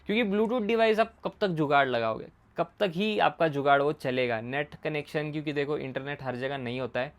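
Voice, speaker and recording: A man's voice: on the high side, clear, resonant tone. A man giving a casual product explainer in a conversational way, mixing in English words and repeating his points. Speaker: motorcycle gear reviewer and video host.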